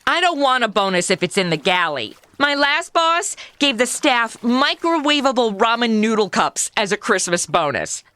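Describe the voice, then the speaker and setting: High, bright, sassy young woman. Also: very animated, moderate pace, hardly any pauses, no room sound. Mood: sarcastic. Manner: sarcastic, petulant, valley-girl phrasing. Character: sassy, self-absorbed modern young woman in the 1950s. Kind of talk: comedy audio drama acting.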